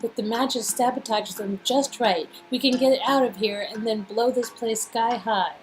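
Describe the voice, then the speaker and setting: A woman's voice: on the high side, fairly even intonation, measured pace, over music, low-quality recording. A woman performing interactive game dialogue in a casual, acted read-through style. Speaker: performer reading game script.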